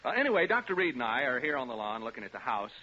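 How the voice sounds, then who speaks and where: A man's voice: mid-range voice, big pitch swings, very fast, bright, forceful tone. An American man playing a brash, wisecracking radio DJ, narrating a ghost hunt in the flippant style of 1940s radio drama. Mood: breezy showmanship. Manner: flippant, joking, showman-like. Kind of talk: 1940s radio acting, live remote broadcast narration. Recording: dull, noisy 1940s radio recording.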